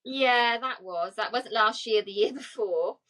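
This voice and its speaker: A woman's voice: on the high side, a little slow, a bit bright. A woman in her forties co-hosting a casual chat podcast, talking chattily with lots of fillers and frequent laughs. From England. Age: 40s. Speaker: podcast co-host.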